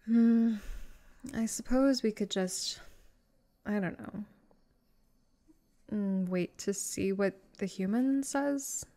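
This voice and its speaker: A vampire girl, acted by a woman speaks slowly in a middling female pitch. She is smug and possessive, teasing and doting by turns, in the manner of a comedic roleplay audio drama. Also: dark tone. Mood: defiant. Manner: haughty, doting, teasing, drawn-out delivery.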